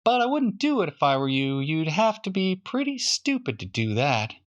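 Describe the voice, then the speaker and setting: A man's voice: fairly high pitch, sing-song, normal speed, muffled recording, voice pushed up. A man voicing a glum young boy, plaintive and slyly knowing, in a children's storybook reading.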